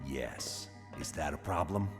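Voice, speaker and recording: An actor playing a gruff, commanding colonel who briefs a team of heroes in a blunt, authoritative way in a video game. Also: male voice, rumbling low, animated, measured pace, long reverb tail, soft background music, heavily acted. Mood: dry, curt. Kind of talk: video game cutscene voice acting.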